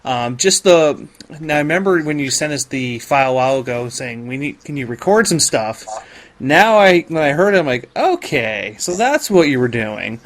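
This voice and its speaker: A man's voice: mid-range voice, sing-song, moderate pace. A man hosting a podcast in casual chat, rambling and often interrupting himself. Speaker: podcast host.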